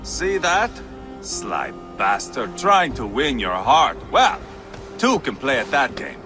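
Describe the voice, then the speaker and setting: A man's voice: mid-range voice, huge pitch swings, slow. An actor playing a brash, swaggering Viking warlord who mocks his rivals. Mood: mocking. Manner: mocking, boisterous. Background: music.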